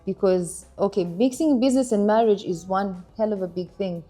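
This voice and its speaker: A young woman from Kenya, chatting casually about her marriage, animated and laughing now and then. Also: mid-range voice, normal speed, soft background music. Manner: animated, laughs while telling stories. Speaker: interviewee, wife in a couple. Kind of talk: casual conversational storytelling.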